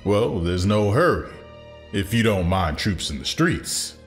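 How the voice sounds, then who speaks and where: A man's voice: lowish voice, very animated, unhurried, soft background music. A man playing a determined, hard-line district attorney in an audio drama, speaking in firm declarations.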